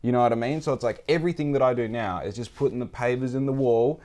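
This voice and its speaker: Animated man, swearing freely. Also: medium pitch, quick pace. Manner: animated, swearing freely, repeats phrases for emphasis. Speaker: podcast host. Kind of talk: casual podcast conversation.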